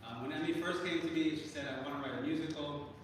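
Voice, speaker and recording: A man giving an informal introductory speech as a faculty advisor, halting and searching for words. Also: on the high side. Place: large performance hall. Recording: very echoey hall, distant mic, clear background noise.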